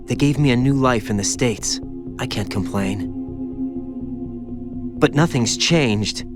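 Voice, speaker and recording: A man's voice: mid-range voice, takes it slowly, lightly acted. Man playing a haunted, brooding young soldier. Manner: anguished, confessional, brooding. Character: haunted young male soldier, former child soldier, bitter and guilt-ridden. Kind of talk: dramatic video game cutscene acting. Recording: music behind the voice.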